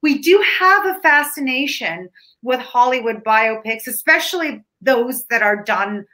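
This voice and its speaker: Bright-voiced woman critic on a video call. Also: on the high side, animated, unhurried. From Canada. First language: Ukrainian. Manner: talkative, many restarts and fillers. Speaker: film critic. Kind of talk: casual film review chat. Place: home room.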